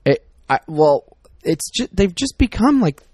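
A man's voice: sits high, very animated, measured pace, darkish tone, slightly rough, dry recording, studio quality. A middle-aged man chatting casually as a podcast co-host, rambling and exasperated, often cutting himself off mid-word. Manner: rambling, exasperated, self-interrupting. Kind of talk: casual chat.

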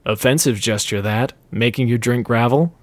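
A man voicing a small male demon who speaks calmly and amiably while egging others on. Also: medium pitch, normal speed, no echo, close to an everyday voice. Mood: sly.

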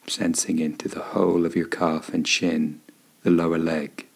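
Low-voiced man guiding a meditation, husky. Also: level tone. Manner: gentle, soothing, unhurried instruction with pauses. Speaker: meditation guide. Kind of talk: guided body scan meditation narration.

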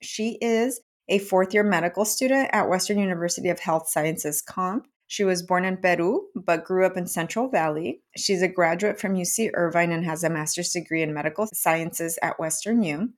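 A woman's voice: on the low side, moderate pace, few pauses. A woman hosting an interview podcast, with an upbeat, encouraging way of talking. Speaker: podcast host.

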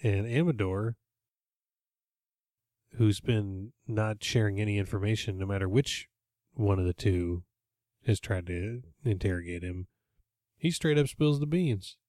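A man's voice: on the low side, a little mellow, no room sound. A man hosting a podcast, casually recapping an episode in a rambling, jokey way. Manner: rambling, jokey, with quick put-on voices. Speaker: podcast host.

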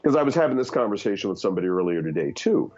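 A man's voice: on the low side, very animated, very fast, a little mellow, lo-fi sound. A man in his fifties giving candid opinions in conversation, earnest, with many fillers and restarts. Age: 50s. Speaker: guest.

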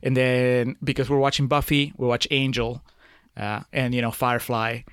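A middle-aged man from Peru talks casually as a guest, in a mid-pitched voice. He wanders through his thoughts with plenty of hesitation, and the sound is dry, with no room to it. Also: flat delivery, normal speed, smooth, dry recording. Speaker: podcast guest. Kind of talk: casual chat, reminiscing.

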